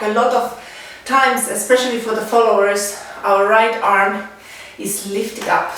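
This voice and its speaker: Finnish-accented woman instructor in an echoey room. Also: medium pitch. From Finland. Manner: halting, pausing between short phrases while demonstrating. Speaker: dance and fitness instructor. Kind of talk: spoken exercise demonstration. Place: indoors at home, away from the mic. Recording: echoey room, distant mic, faint noise.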